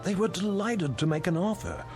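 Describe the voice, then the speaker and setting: An actor playing a practical, brisk museum owner in an audio drama, speaking in a reasoning, persuasive and sometimes dismissive way. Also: male voice, high-pitched, lively intonation, over music. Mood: firm, reasoning.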